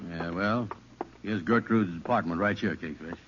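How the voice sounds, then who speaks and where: An actor playing a slow-witted, gullible, easygoing man in an old radio sitcom, with a bumbling, hesitant, folksy delivery. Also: low voice, loud music behind the voice, natural voice. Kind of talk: 1940s radio sitcom acting. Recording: muffled, hissy old radio recording.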